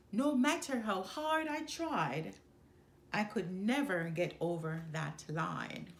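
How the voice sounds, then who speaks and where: A woman reading a children's storybook aloud in the first person, expressively, with deliberate, dramatic pauses. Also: mid-range voice. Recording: roomy, echoing room.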